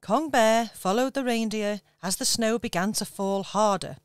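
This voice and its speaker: A woman narrating a children's radio pantomime in clear, steady storytelling. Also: medium pitch, moderate pace, no echo, studio quality.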